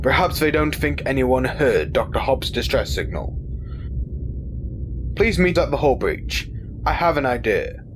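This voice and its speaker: An actor playing a calm, commanding rabbit starship captain in an audio drama, giving orders in a formal, steady way. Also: male voice, medium pitch, unhurried, pauses often, noisy background, lightly acted.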